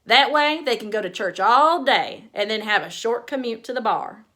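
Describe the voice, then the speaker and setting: A woman's voice: a little high, lively intonation, moderate pace, pushed and bright. Woman putting on a firm Southern mother with a thick Texas drawl. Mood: wry. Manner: commanding, matter-of-fact.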